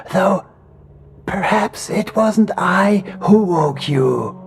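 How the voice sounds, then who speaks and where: A man narrating a horror story, voicing an uncanny being that only poses as a calm man, speaking softly and measured, often sinking to a whisper. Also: high-pitched, creaky, voice pushed up, heavily acted. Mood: soothing menace. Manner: soft, measured, slips into hushed whispers. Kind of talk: horror audiobook reading.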